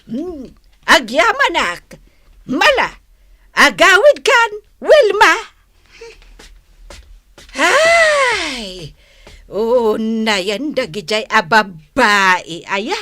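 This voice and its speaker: A woman playing a fussy, exasperated older storekeeper in a radio comedy drama, given to scolding hushes and drawn-out sighs. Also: sits high, big pitch swings, no room sound, full character voice. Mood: businesslike.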